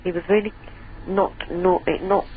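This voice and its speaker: A woman calling in to a radio phone-in, chatty and giggly, her voice a little hoarse. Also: on the low side, level tone, measured pace, a little hiss or hum. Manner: chatty, giggly, self-deprecating. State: voice going hoarse. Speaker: caller. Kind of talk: phone-in chat. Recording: tinny phone line.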